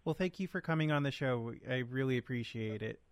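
A man hosting a radio show as DJ, announcing in a relaxed, friendly way. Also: medium pitch, brisk. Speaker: radio host, DJ. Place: radio studio.

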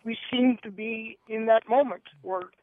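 A man's voice: high voice, steady tone, measured pace. A man guest on a radio show, talking with hesitant restarts and reading his poem aloud. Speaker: guest poet and activist. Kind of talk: radio interview and poetry reading. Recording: tinny phone line.

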